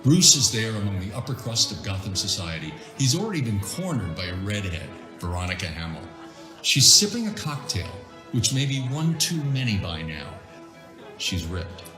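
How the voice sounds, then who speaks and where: A man's voice: lowish voice, big pitch swings, moderate pace, echoey room. A man narrating a dramatised comic script, setting scenes in the present tense. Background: music.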